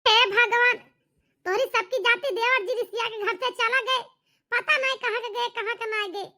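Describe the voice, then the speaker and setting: A woman voicing a chatty, meddling young sister-in-law in a cartoon, lively and coaxing, with an Awadhi accent. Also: extremely high pitch, normal speed. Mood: worried. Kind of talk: rustic cartoon comedy dialogue.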